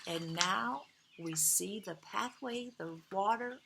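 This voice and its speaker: A woman reading a picture book aloud to young children, outdoors, with dramatic pauses, emphasized key words and gentle asides to her listeners. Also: medium pitch, vocal fry, slight background noise. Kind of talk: expressive children's read-aloud.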